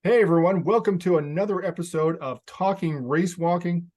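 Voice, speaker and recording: A Canadian man hosts a casual podcast interview in a fairly high voice. He talks in a chatty, friendly way and laughs easily. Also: moderate pace, darkish tone. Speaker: podcast host.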